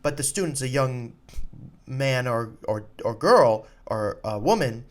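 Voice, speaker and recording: A man's voice: medium pitch, expressive, unhurried. A man with a mid-range voice talks like a coach hosting an advice show. His delivery is informal and direct. He puts emphasis on important points and repeats them so they land. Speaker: college soccer recruiting coach and podcast host. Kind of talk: informal advice talk to students and parents.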